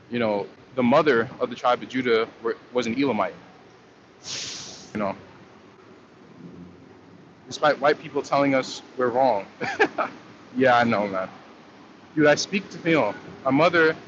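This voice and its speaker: A man chats on a relaxed livestream, rambling through viewer comments in a loose, slangy way with plenty of fillers and restarts. His voice sits at an ordinary middle pitch. The stream audio is dull and lo-fi, with a faint hiss of noise behind it. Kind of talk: casual livestream chat.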